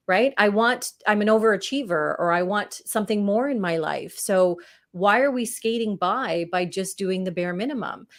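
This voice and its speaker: A woman guest on a podcast, talking energetically about negotiation and telling stories with rising emphasis. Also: mid-range voice. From Canada. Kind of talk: podcast interview answers.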